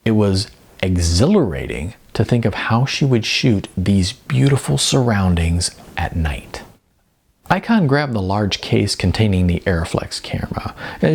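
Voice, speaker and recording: A man narrating a scripted story as an audiobook reader, with a gravelly, husky voice. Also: fairly low pitch.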